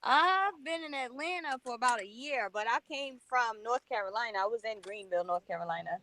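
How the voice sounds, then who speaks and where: A woman from Queens, New York, chatting in a relaxed, agreeable way as a book club member. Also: on the high side, brisk. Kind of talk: casual chat.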